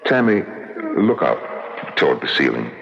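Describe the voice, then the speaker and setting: An actor playing a menacing, coolly sadistic villain in an old radio drama, deliberate and mock-polite. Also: male voice, extremely low pitch, big pitch swings, vocal fry, fairly bright tone, faint music behind, exaggerated voice. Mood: cool menace. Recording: muffled old radio recording.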